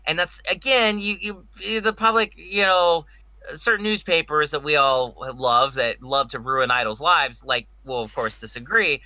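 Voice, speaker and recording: Male podcast host, very forceful and emphatic, opinionated panel talk, dry but slightly muffled recording. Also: on the high side, measured pace, no echo. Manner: emphatic, rhetorical, repeats himself. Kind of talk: opinionated casual panel discussion.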